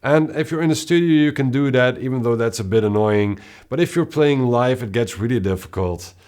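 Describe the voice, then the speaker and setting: Brief: deep-voiced male guitarist, mid-pitched, calm talk to camera, steady explainer. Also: a little fast. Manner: steady, explanatory, with occasional filler sounds. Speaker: guitarist and channel host. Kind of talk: casual gear talk to camera.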